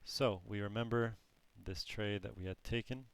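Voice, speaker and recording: A man who is losing his voice, teaching a trading lesson in a slow, halting way with frequent restarts. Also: fairly low pitch, steady tone, gravelly, smooth. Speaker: trading course instructor. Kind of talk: screen-recorded trading lesson.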